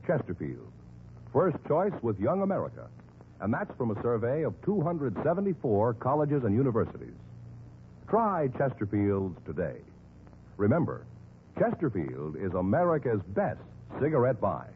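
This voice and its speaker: A male commercial announcer selling emphatically in 1950s radio style. His medium-pitched voice swoops dramatically up and down. It sounds tinny, with faint hiss from an old broadcast. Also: huge pitch swings, moderate pace. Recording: tinny, hissy vintage radio recording.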